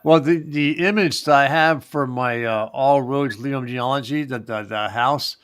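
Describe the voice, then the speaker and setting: A man from Pennsylvania with a light Pennsylvania accent, hosting a rambling, halting live chat. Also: mid-range voice, a bit bright, clear, resonant tone, no room sound. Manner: rambling, halting, many fillers and restarts. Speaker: host. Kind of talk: casual live-stream chat.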